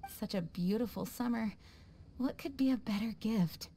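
A woman's voice: on the high side, normal speed, dark tone, slightly rough. A woman voicing a gracious, composed young female knight in a video game, polite and formal. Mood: wistful, gracious.

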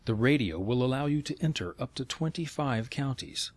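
A man reading scripted instructional narration in a measured, step-by-step way. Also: medium pitch, expressive.

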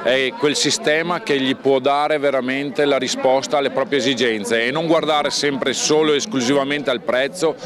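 A middle-aged man speaking for a farmers' group, explaining in an earnest, steady way at an outdoor expo. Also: mid-range voice. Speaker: farmers' association official. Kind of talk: outdoor interview.

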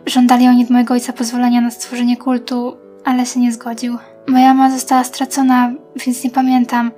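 A woman's voice: a little high, completely flat delivery, brisk, warm tone, faint music behind, lightly acted. A woman voicing a sad, sheltered young noble girl in a manga dub, melancholy and subdued. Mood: sorrowful.

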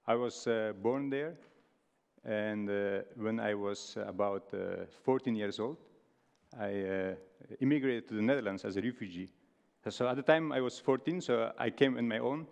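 A man in his thirties from Afghanistan giving a stage talk, halting with many fillers and restarts. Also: fairly low pitch, gravelly, clean tone. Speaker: speaker. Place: large stage hall with audience. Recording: long hall reverb.

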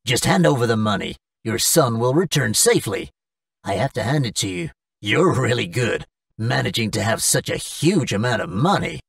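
A man's voice: medium pitch, sing-song, normal speed, vocal fry, dry recording, clean studio sound, distant mic. A man playing a threatening, mocking debt-collector villain in a cartoon. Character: menacing bearded male debt-collecting thug. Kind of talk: English-language cartoon villain acting.

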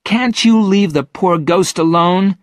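A man's voice: animated, a little slow, slightly rough, dry recording, studio quality. A man voicing a kind but outspoken young girl in a storybook, earnest and emphatic. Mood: indignant. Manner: earnest, emphatic, raised pitch.

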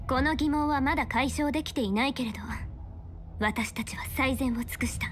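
An actress playing a stern young fortune-teller official in a game, speaking in a formal, measured, authoritative way. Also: female voice, on the high side, lightly acted. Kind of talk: scripted game character dialogue in Japanese dub.

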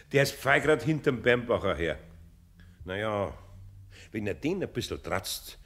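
An actor playing a good-natured older craftsman in a radio play, a homely man with a husky voice and Bavarian accent. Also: fairly low pitch, very animated, slow pace, a bit bright, full character voice. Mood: amused. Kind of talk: radio play dialogue acting.

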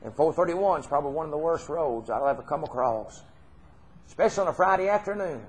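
A man's voice: a little high, lively intonation, fast. A middle-aged man with a Southern US accent preaching an informal, folksy, earnest sermon, repeating phrases for emphasis. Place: church hall stage, indoors.

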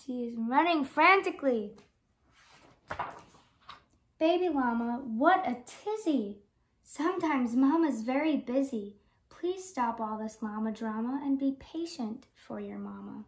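A young woman with a high voice hosts a read-aloud for kids. She reads picture-book text expressively and talks casually and directly to her young audience, recorded at home in a living room. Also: expressive, measured pace. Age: young adult. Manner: addresses young listeners with questions. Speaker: children's read-aloud host, education student.

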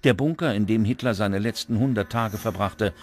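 A man reading scripted documentary narration in a measured, dramatic manner. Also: lowish voice. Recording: music under the voice. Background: background music.

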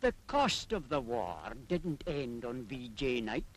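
A man's voice: medium pitch, sing-song, takes it slowly, a little hiss or hum. An actor playing a knowing Scottish man who lectures didactically in a theatrical 1940s public information film style. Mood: patiently explaining.

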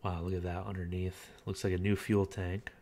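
A man with a low, deep voice chats his way through a car listing, relaxed and unscripted. He reads bits of the listing aloud and drops in plenty of filler words as he goes. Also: moderate pace. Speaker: car auction reviewer. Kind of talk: casual screen-recorded commentary.

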